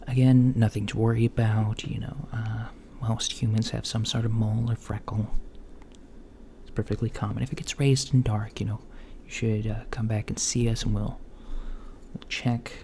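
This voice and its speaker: A man doing a soft-spoken, partly whispered ASMR roleplay as a calm, reassuring doctor, murmuring hesitantly with long pauses. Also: on the low side, vocal fry. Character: calm reassuring male alien doctor at a checkup. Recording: lo-fi with faint background noise.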